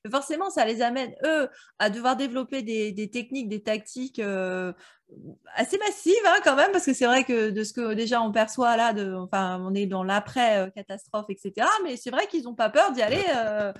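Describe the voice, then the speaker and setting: A woman's voice: fairly high pitch, lively intonation, normal speed. A woman chatting casually as a book club co-host, using informal, slangy speech. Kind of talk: casual chat, fan discussion.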